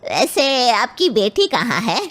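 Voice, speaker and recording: A woman's voice: a little high, big pitch swings, takes it slowly, a bit bright, exaggerated voice. A woman voicing a domineering, traditional middle-aged mother of the groom in a cartoon. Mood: assessing.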